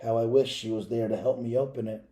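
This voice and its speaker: Man, mellow soft-edged tone, mid-pitched, children's read-aloud, measured dramatic storytelling. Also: fairly even intonation. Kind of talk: children's picture book read aloud with asides to listeners.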